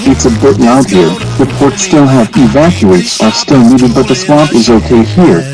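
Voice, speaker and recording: A man acts as a male field reporter giving a scripted emergency news update. The voice sits at an ordinary male pitch and stays matter-of-fact. Prominent music plays underneath the report. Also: moderate pace, lightly acted, low-quality recording.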